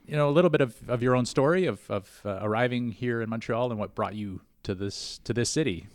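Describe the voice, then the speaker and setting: A man hosting a podcast interview in a college classroom, speaking in a friendly, halting way with many pauses. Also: mid-range voice, no echo, studio quality. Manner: warm, hesitant, many "uh"s. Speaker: podcast host. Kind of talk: conversational interview.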